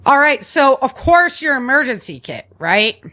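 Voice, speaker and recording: A woman's voice: high voice, very animated, normal speed, pushed and bright. A woman hosting a podcast, chatting casually about survival tips in an informal, plain-spoken way. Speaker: podcast host.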